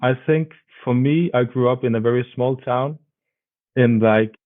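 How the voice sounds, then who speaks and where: A man's voice: medium pitch, a little slow. Man on a tinny line. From Denmark. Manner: thoughtful, searching for words. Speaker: band member, guest. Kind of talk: casual interview answers.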